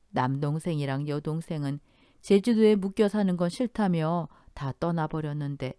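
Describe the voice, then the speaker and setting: A woman's voice: low voice, warm tone, smooth, no room sound, studio quality. A woman voicing a kindly, spirited elderly mother-in-law with an affectionate, plain-spoken manner in a radio story. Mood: wistful. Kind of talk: Korean radio story dialogue.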